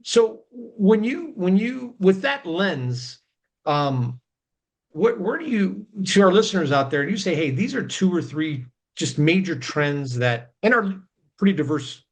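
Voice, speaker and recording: A man's voice: high-pitched, lively intonation, measured pace, low-quality recording. A man hosting a podcast interview, chatty and affirming, filling his speech with "you know" and "yeah". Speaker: podcast host.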